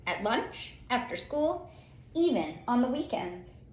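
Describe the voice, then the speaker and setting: A high-voiced woman reads a picture book aloud in a roomy, echoey space. Her delivery is theatrical and very lively in pitch, with dramatic pauses and stretched-out words for young listeners. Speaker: children's read-aloud storyteller. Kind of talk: expressive picture-book storytelling.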